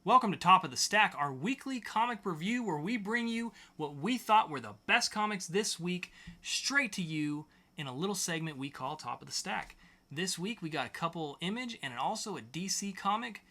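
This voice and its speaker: A man's voice: high voice, expressive, normal speed, bright, forceful tone. A man co-hosting a podcast, presenting segments and comic book reviews in a casual, chatty style. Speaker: podcast co-host.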